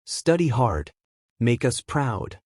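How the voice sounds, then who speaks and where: A strict middle-aged father lectures his son slowly and firmly, voiced by a young male narrator. His voice stays mid-pitched and dry, with no room around it. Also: sing-song, darkish tone, husky, dry recording, exaggerated voice. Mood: stern.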